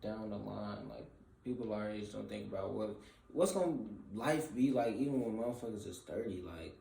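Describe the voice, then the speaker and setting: A man's voice: on the low side, normal speed, creaky, dark tone. A man in his twenties chatting casually as a podcast guest, laid-back and giving advice. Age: 20s. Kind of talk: casual chat. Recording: echoey room with faint hiss.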